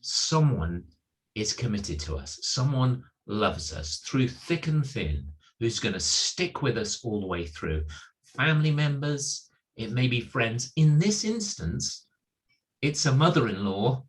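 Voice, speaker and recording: A man's voice: medium pitch, big pitch swings, takes it slowly, low-quality recording. A middle-aged man giving an earnest sermon, stressing and repeating his key points emphatically. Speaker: preacher at a church. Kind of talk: sermon, reflecting on a Bible passage.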